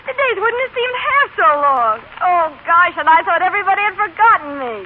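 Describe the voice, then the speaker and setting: An actress playing a restless, brassy young working-class wife who pleads and argues in slang, in 1930s radio drama style. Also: female voice, extremely high pitch, expressive, brisk. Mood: excited, pleading. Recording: noisy, tinny 1930s radio broadcast.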